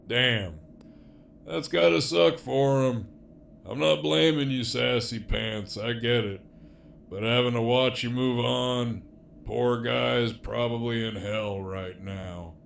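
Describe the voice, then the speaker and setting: A heavily gravelly man's voice acting out a role as a cocky, teasing villain behind bars in an audio drama. He takes his time, drawling with sardonic bite, over faint background noise. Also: medium pitch, slow. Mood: wry.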